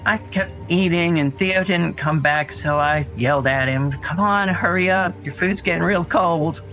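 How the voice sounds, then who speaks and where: Earnest young boy, bright halting voice. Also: fairly high pitch, rough recording. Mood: puzzled, earnest. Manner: rambling, halting, childlike storytelling. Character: Dougie, frightened earnest young boy recounting his brother's disappearance. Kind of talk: naturalistic audio drama acting. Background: music under the voice.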